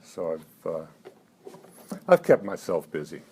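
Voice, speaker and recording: A man in his seventies at a live poetry reading, talking haltingly between poems with dry, self-mocking asides and lifting his voice for the verse. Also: low voice, sing-song, warm tone, husky. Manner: halting talk with many "uh" fillers. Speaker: poet.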